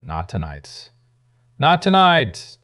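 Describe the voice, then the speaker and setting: A woman voicing a guarded male soldier, now a household guard, speaking low, quiet and weary. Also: big pitch swings, takes it slowly, dry recording, clean studio sound, exaggerated voice.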